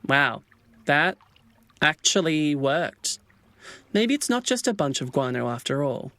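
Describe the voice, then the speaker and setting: High, bright-voiced young swan played by a man. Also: big pitch swings, takes it slowly, no echo, heavily acted. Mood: hesitant, relieved. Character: hurt young male swan, anxious misfit, finally defiant. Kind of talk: audio drama acting, naturalistic modern dialogue.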